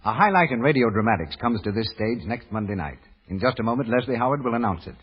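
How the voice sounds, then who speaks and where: Husky American radio announcer, reverberant vintage recording. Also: male voice, mid-range voice, expressive. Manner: formal, measured presentation. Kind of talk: 1930s network radio announcing, scripted. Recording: muffled 1930s radio broadcast with long reverb.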